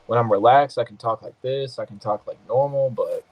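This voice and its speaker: A man's voice: medium pitch, fairly quick, muffled recording. A man hosting a gaming podcast, a bit nasal, joking loosely and trash-talking while he comments on his own play. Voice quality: sometimes nasal. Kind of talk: casual gameplay commentary.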